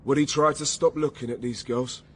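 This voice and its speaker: A man playing a steady, insinuating police interviewer in a radio drama, prompting and persuasive. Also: medium pitch, moderate pace, husky, close to an everyday voice. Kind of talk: naturalistic radio drama acting.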